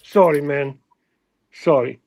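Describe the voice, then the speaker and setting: Serbian accent guy rambling about watches, high-ish voice, dry close sound, lots of uhs. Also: a little mellow, no echo. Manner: halting, lots of restarts and filler. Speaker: watch expert on a livestream. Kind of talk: casual livestream chat.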